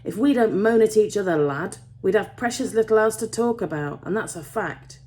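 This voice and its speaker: Woman putting on a gruff old Yorkshire-accented miner, swooping pitch. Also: expressive, moderate pace, a little mellow, close to an everyday voice. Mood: grumbling. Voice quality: gruff, rough. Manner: dialect grammar, grumbling, emphatic repetition. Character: gruff old male Cornish tin miner, grumbling, proud, fiery.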